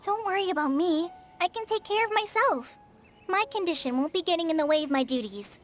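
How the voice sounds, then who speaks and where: An earnest teenage girl, a trainee ranger in a video game. She is shy and hesitant. Her high voice carries over clear background music. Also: expressive. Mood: earnest, apologetic. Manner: hesitant, halting.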